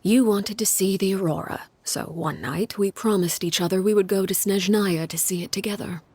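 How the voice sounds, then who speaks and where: A woman voicing a stern, solemn leader in a video game, speaking in a grave, measured, hushed way. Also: mid-range voice, slightly rough, no echo. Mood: solemn, subdued. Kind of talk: dramatic video game acting.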